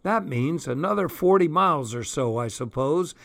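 A man's voice: a little high, lively intonation, normal speed, creaky, a bit bright. A man voicing a grim, bitter tramp.

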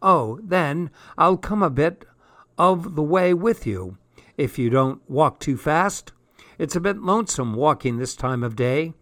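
A man reading aloud and voicing a frail eighteen-year-old tramp boy with a husky voice. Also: a little high, lively intonation, unhurried, a bit bright, dry recording. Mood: chatty.

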